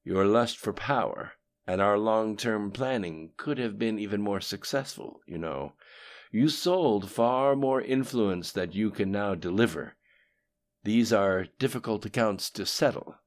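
A man voicing a cold, flat-spoken male emissary who talks in a measured, deliberate way. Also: on the low side, pitched above the performer's own voice, close to an everyday voice.

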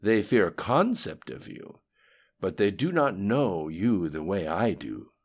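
A man voicing a cold, composed conspirator in an audiobook, deferential yet unafraid as he addresses his dark master. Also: sits low, very animated, a little slow, pitched above the performer's own voice, exaggerated voice. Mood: calm, insistent. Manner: cool, deferential yet unafraid, matter-of-fact. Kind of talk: audiobook dialogue acting.

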